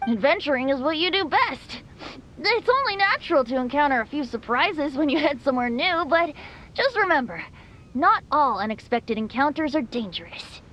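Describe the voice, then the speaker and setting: A woman's voice: high voice, very animated, normal speed, fairly bright tone, dull audio, a little hiss or hum. A woman voicing a breezy, boyish, easygoing bard in a video game, light-voiced, hesitant and playful, congested with allergies. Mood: gentle, encouraging. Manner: hesitant, airy, playful, throat-clearing. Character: boyish, breezy, easygoing wandering bard, secretly a wind spirit. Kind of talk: video game character acting.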